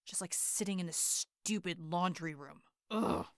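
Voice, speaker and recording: A woman's voice: lowish voice, a little slow, no echo, studio quality. A woman voicing a brash, hot-tempered teenage boy hero in an audio roleplay; he swears constantly, boasts, and snaps at everyone. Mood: grumbling.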